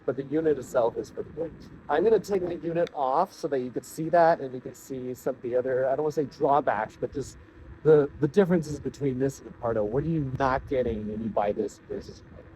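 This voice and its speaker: Male product reviewer, mid-pitched, conversational and unscripted, talking through his thoughts as he demonstrates. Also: fast talker, warm tone, slight background noise, rough recording.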